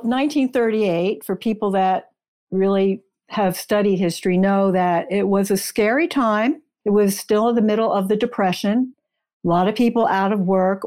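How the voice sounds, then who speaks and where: A woman's voice: medium pitch, a little mellow. A woman speaking as an interview guest, an author who explains her research in an informative, detailed way.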